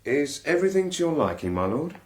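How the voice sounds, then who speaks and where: An actor playing a deferential, plain-spoken manservant in a period radio drama. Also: male voice, on the high side, big pitch swings, a little fast. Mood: hesitant, respectful. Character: deferential, plain-spoken manservant asking favours, also narrating.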